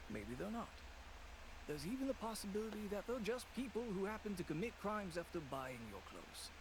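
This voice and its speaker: Cautious middle-aged shopkeeper dad, lively measured advice, game ambience under it. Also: male voice, fairly high pitch, noisy background. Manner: measured, advising. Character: middle-aged male shop owner and father, cautious and pragmatic. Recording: game audio with background ambience.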